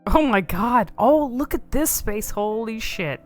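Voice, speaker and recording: A woman's voice: on the high side, lively intonation, a little slow, soft background music. A woman giving casual, off-the-cuff commentary on a video game in a rambling, informal, amused manner.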